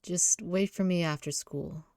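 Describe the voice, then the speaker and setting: A woman voicing a brooding, injured teenage delinquent boy in a low, subdued, terse grumble for a visual novel. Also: steady tone, a little slow, darkish tone, dry recording, studio quality. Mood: curt, softened.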